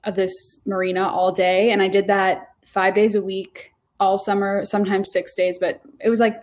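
A woman's voice: medium pitch, level tone, moderate pace, darkish tone. A woman in her early twenties from Rhode Island, a guest telling her story in casual, rambling talk full of fillers and restarts. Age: 20s. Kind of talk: casual interview chat.

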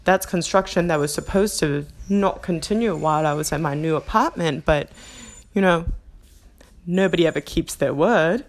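A woman's voice: low voice, normal speed. Rambling woman in a put-on Australian accent. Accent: put-on Australian, slipping into English. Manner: rambling, self-mocking, laughs often. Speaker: podcast host. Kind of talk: impromptu stream-of-consciousness monologue. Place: her apartment, indoors.

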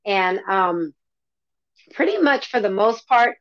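A woman's voice: medium pitch, lively intonation, slow pace, dry recording. A woman interview guest answering casually, feeling for her words, on a slightly dull, lo-fi connection.

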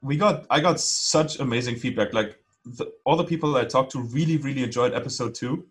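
Man, podcast co-host, casual rambling talk, middle pitch. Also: fairly quick, breathy edge. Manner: rambling, lots of fillers and laughs. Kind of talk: casual chat.

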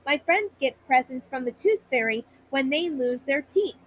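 Woman reading as a high-pitched curious little boy turtle. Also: expressive, moderate pace, a little hiss or hum, poor audio. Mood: earnest, wishful. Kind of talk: children's storybook character reading.

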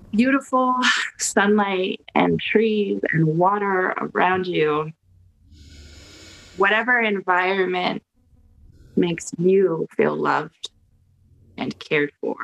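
A woman on a video call gently guiding a mantra meditation in a soft, slow, soothing way. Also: lowish voice, gravelly, poor audio. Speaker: guest leading a mantra exercise.

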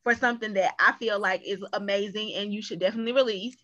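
A woman's voice: medium pitch, steady tone, fast talker, fairly bright tone. A woman chatting casually as a cast member in an interview, on dull lo-fi audio. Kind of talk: casual chat.

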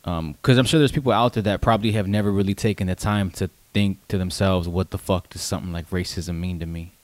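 Low-voiced man in his late twenties. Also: steady tone, darkish tone, no room sound. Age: late 20s. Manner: informal, swearing, halting and rambling. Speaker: podcast co-host. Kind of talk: casual unscripted podcast chat.

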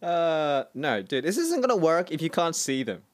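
Joking podcast host, dry sound. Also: male voice, on the high side, very animated, no room sound. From Australia. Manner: joking, laughs often, reads out dating bios slowly. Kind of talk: casual banter.

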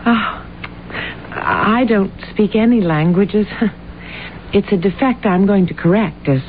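Woman playing timid sweet-natured young librarian, really slow n hesitant, boxy old radio sound. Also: mid-range voice, close to an everyday voice. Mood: shy, flustered. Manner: hesitant, halting, polite. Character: shy, naive, earnest young woman librarian. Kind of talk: old-time radio drama acting. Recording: muffled, noisy old radio broadcast recording.

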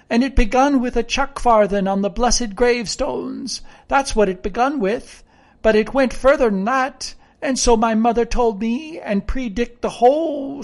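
A woman's voice: on the high side, darkish tone, voice pushed up. A woman voicing a half-crazed marooned sailor from an audiobook, a sly, childishly eager man with rustic, ungrammatical speech. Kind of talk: audiobook character voice.

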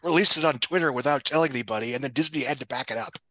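A man's voice: medium pitch, very fast, a bit bright, no room sound. A man giving casual film commentary as a podcast guest, opinionated, articulate and apt to swear.

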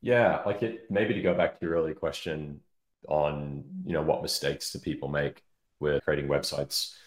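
A man's voice: very deep voice, fairly even intonation, normal speed. A man giving explanatory, opinionated answers as an expert guest in a casual interview. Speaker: interview guest, head of product marketing. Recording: roomy, echoing sound.

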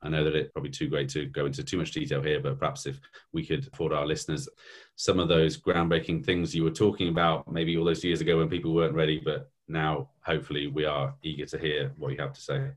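Very deep-voiced, rambling male host. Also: level tone, fast. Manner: rambling, hesitant, with many "you know"s. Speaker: podcast host. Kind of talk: interview questions.

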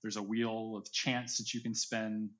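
A man's voice: fairly low pitch, level tone, a little slow, fairly bright tone, highs cut off. A man speaking casually as an interview guest, in an informal way peppered with "like" and "right". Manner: informal, heavy use of "like" and "right". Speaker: guest, NFT project creator.

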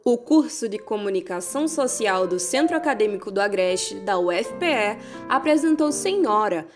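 A woman's voice: medium pitch, lively intonation, normal speed, music in the background. A Brazilian woman reading a scripted radio announcement as the announcer for a programme.

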